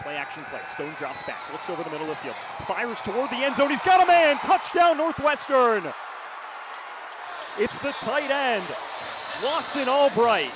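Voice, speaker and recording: Young male football play-by-play man, higher-pitched. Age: 20s. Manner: clipped, descriptive phrases. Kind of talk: live radio sports commentary. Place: live at a football stadium. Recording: loud stadium background noise. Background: stadium ambience and noise.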